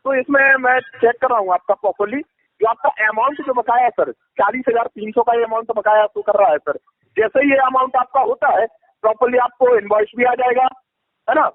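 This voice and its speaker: A man making a phone sales pitch, insistent, repetitive and evasive. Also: a little fast. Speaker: advisory company agent on a sales call. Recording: tinny, echoey phone call recording.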